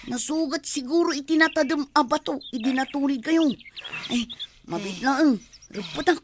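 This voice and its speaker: A woman's voice: high-pitched, normal speed, a little hiss or hum, close to an everyday voice. An actress playing an earnest, helpful young village woman in a radio drama, respectful toward her elders. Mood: worried, urgent.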